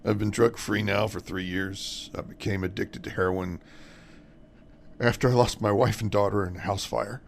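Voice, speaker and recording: A man playing a grieving widower and recovering addict in a radio drama, subdued and halting. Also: lowish voice, fairly even intonation, creaky, slight background noise. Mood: sincere, pained.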